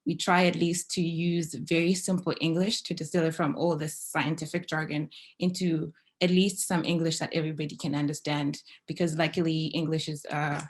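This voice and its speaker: A young woman from Zimbabwe speaking as a panelist, explaining steadily with frequent pauses and fillers. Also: deep voice, flat delivery. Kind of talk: panel discussion over video call.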